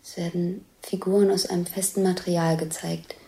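An actress playing an observer who precisely and plainly describes scenes one by one in a radio drama. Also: female voice, on the low side, level tone, moderate pace, creaky, warm tone. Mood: matter-of-fact. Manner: matter-of-fact, precise.

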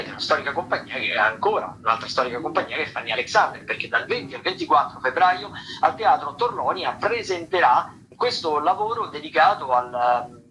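A man hosting a radio theatre show, talking unscripted through listings in a halting, wordy way, often repeating and correcting himself. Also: mid-range voice, sharp tone. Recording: noisy radio broadcast sound.

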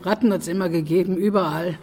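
A dark, mellow female voice of an actress as a calm elderly mother in a radio play. She sounds unfussed, heard in a reverberant space with music. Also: on the low side, fast, lightly acted. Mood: calm, content. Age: older. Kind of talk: radio drama acting.

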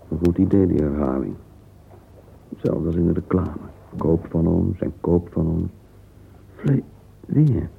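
Wistful middle-aged man in a radio play, super deep voice, slow halting murmur, thin phone-like old recording. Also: creaky, full character voice. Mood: curious, musing. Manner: murmuring, halting, fragmentary musing, sometimes whispered. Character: wistful, musing middle-aged man lost in private thought. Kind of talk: radio play interior monologue. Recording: narrow-band lo-fi old radio recording, hissy.

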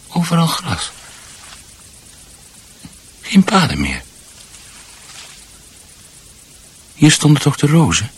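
An actor playing a confused, gentle husband who is shut away, speaking haltingly and repeating himself in a radio drama. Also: male voice, sits low, breathy edge, heavily acted. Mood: muttering, bewildered. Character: confused, gentle confined husband fretting about his garden.

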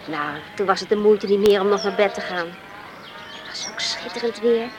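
A woman's voice: medium pitch, normal speed, background noise, natural voice. A woman acting a friendly, curious young farm girl in a Dutch radio play. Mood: cheerful.

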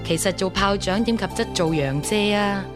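A woman's voice: mid-range voice, normal speed, natural voice. Forthright young lady played by an actress, music underneath. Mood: softened, earnest. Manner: direct, questioning. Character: forthright, curious young lady, merchant's daughter. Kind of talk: broadcast drama acting.

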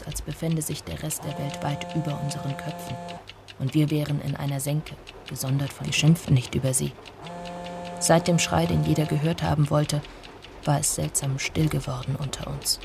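An actor playing a somber villager who narrates a radio drama in a measured, brooding way. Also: mid-range voice, vocal fry, close to an everyday voice. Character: somber village narrator recalling an old tragedy. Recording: music bed under the voice. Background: music.

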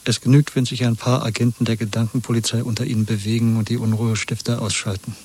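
Husky, subdued, fatalistic man, played by an actor. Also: medium pitch, level tone, fast, darkish tone, close to an everyday voice. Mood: resigned, bitter. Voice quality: husky, breathy. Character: weary, fatalistic man, a wary dissident lover. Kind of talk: 1970s German radio drama acting.

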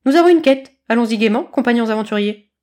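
Woman voicing an excitable, loud heroic kitten. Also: a little high, quick pace, lightly acted. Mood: delighted. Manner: grandiose, exclaiming. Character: excitable heroic kitten, bold would-be adventurer.

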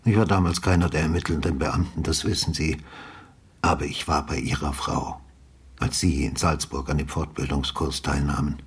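Very deep, husky retired detective. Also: male voice, steady tone, full character voice. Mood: measured, probing. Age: older. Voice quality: very husky, breathy bass. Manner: measured, polite, methodical questioning. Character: calm, courteous, probing retired male police detective. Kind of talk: radio drama acting.

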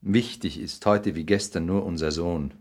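An actor playing a suave, arrogant aristocratic lord in a 1960s German radio play, polished and condescending in manner. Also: male voice, low-pitched, moderate pace, darkish tone. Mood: smooth, insistent.

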